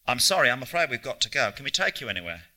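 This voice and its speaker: Man voicing calm storybook companion, male, firm, protective, measured, bright tone. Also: mid-range voice, heavily acted. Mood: gentle. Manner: flat, soft, measured.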